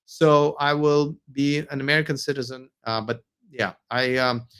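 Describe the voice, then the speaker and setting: A man's voice: medium pitch, flat delivery, normal speed, fairly bright tone, clean tone. A man from Russia with a Russian accent, hosting a late-night live stream. He reads viewer comments and answers them, sounding tired, with many fillers, restarts and hesitations.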